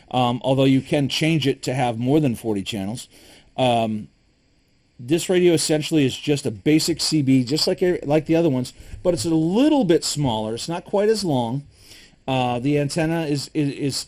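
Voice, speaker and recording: A man from Michigan casually reviewing preparedness gear, talking in a relaxed, opinionated way with frequent ums and restarts. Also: medium pitch. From Michigan, USA.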